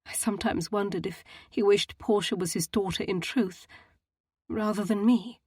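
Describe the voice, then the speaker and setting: A woman's voice: mid-range voice, steady tone, moderate pace, a little mellow, husky, dry recording, studio quality, lightly acted. A woman voicing a distraught young society woman who speaks in a quaking, tearful voice. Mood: sorrowful.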